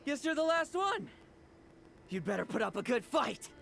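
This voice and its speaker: An actor playing a cocky, restless young monster hunter in a video game, with a flippant, teasing manner. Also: male voice, very high-pitched, sing-song, normal speed, gravelly, over music, full character voice.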